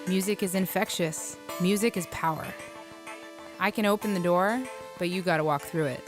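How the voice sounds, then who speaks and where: A woman's voice: on the low side, moderate pace, natural voice. A woman playing a brash, self-assured electronic music artist in a motion comic, slangy and cocky in delivery. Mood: intense, boastful. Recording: voice over clear music.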